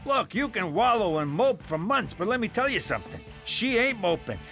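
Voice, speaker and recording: A man's voice: high-pitched, big pitch swings, moderate pace, pushed and bright, faint music behind, full character voice. A man voicing a crude, brash uncle in a cartoon, pushy and streetwise. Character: crude, brash uncle, six times divorced.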